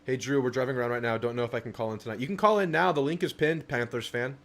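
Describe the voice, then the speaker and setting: A man's voice: mid-range voice, fast talker, fairly bright tone. A young man hosting a casual live stream, reading viewer messages aloud and answering them. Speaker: livestream host.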